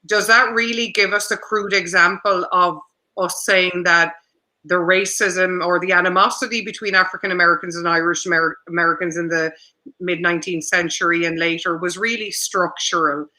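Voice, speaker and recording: A man moderates a discussion in a very bright, forward voice. The intonation stays fairly flat, while the questions come out wordy and tentative, circling before they land. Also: sits high, moderate pace.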